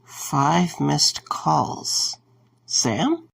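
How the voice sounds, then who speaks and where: A man playing a wry, concerned young man in an audio drama, speaking in a low-key, halting way. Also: huge pitch swings, gravelly, a bit bright, breathy edge, full character voice. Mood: worried.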